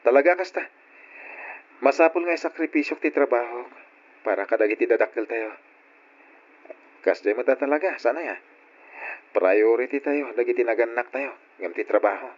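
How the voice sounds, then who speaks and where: An actor playing a patient, burdened grown son who cares for his ailing parents, speaking gently and earnestly in an old-time radio drama style. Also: mid-range voice, moderate pace, pauses often, natural voice. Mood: earnest, resigned. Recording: dull lo-fi radio drama recording.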